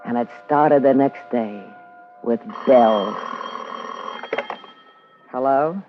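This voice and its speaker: A woman's voice: extremely low pitch, extremely slow, exaggerated voice. An actress with an American accent playing a hard-boiled, wisecracking party girl and blackmailer in a vintage radio drama, with a tough, sardonic manner. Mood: wry reminiscing. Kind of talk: 1950s radio noir acting, narration and dialogue. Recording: muffled vintage radio recording with music.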